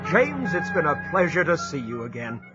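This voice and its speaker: An actor playing a gracious, kindly church elder in an audio drama, with a husky voice. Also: male voice, fairly high pitch, sing-song, moderate pace. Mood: warm, gracious. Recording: muffled, music behind.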